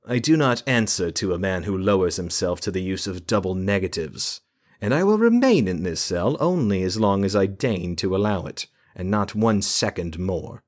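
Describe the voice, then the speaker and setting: A man's voice: low voice, animated, normal speed. A man voicing an arrogant male mage who speaks with haughty condescension. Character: arrogant, disdainful male mage, aloof prisoner.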